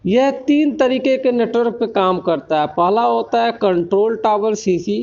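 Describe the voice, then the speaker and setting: Male lecturer, Indian accent, high voice, step-by-step explaining, frequent check-in tags, dull lo-fi sound. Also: measured pace, creaky, a little mellow. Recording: dull lo-fi recording.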